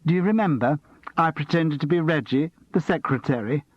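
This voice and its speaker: A man voicing a chatty, gossipy middle-aged woman with a sharp edge, husky and slightly dull-sounding. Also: fairly high pitch, brisk, no room sound, pitched above the performer's own voice, natural voice. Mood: gushing.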